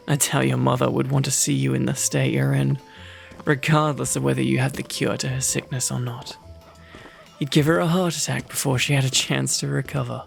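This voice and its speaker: Male actor for tsundere wolf-man mercenary, ASMR roleplay; very gravelly, gruff, easily flustered, protective underneath, music underneath. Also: mid-range voice, flat delivery, moderate pace. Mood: bossy, protective. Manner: gruff, sardonic, stammers when flustered.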